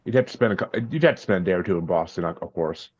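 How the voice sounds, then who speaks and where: A man's voice: low voice, lively intonation, rapid-fire, dry recording, dull audio. A man from Massachusetts, a podcast guest, chatting in a laid-back, hesitant way and often repeating his words. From Massachusetts, USA. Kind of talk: casual interview chat.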